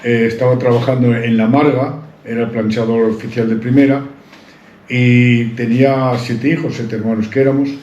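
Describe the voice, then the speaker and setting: A man's voice: mid-range voice, little pitch movement, moderate pace, a little mellow, slight background noise. A man tells his life story in an interview, rambling proudly with many restarts. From Santander, Cantabria, Spain. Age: 70s. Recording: roomy, dull-sounding recording.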